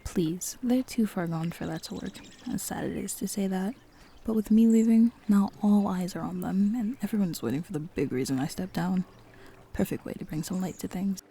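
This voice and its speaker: A woman acting a teasing, self-assured superhero in a roleplay audio drama, with a husky, gravelly voice and dry, bantering delivery. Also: lowish voice, normal speed, warm tone, slight background noise. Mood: confident, matter-of-fact.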